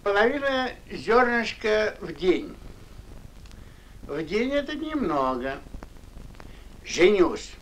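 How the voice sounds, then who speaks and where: A man's voice: high-pitched, very animated, takes it slowly, pauses often, a bit bright, slight background noise, exaggerated voice, poor audio. A man voicing a stingy, self-important old mole with a pompous, grumbling manner in a vintage cartoon. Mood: calculating. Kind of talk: Soviet animated fairy-tale acting.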